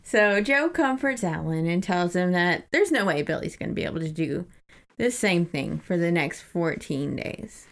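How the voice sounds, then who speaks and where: A woman's voice: fairly low pitch, animated, moderate pace, vocal fry. A woman co-host chatting casually about a book and reading bits aloud, amused and enthusiastic. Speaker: podcast co-host. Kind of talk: casual book discussion with read-aloud passages.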